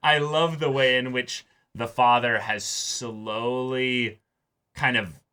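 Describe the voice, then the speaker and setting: A young man co-hosting a casual anime discussion, talking in a halting way with repeated words. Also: medium pitch, steady tone, sharp tone, clear, resonant tone. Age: young adult. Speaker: co-host. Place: small indoor room set up for filming.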